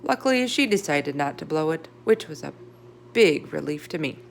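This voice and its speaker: A woman's voice: low voice, lively intonation, normal speed, gravelly, a little hiss or hum. A woman reading a children's storybook aloud as narrator. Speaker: read-aloud reader. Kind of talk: children's book read-aloud, character voices in dialogue.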